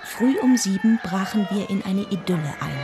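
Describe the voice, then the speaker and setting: A woman's voice: mid-range voice, lively intonation, moderate pace, loud music behind the voice, natural voice. A woman playing an observant deaconess who narrates a crime story in a German radio drama. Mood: earnest, recounting.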